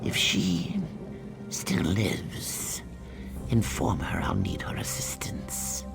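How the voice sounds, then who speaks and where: An actor playing a solemn male reptilian keeper of sacred eggs in a fantasy game, with breathy, deliberate delivery. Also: low voice, whispered, full character voice. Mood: solemn, urgent. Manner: slow, grave, deliberate. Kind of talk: fantasy video game dialogue. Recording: game music behind the voice.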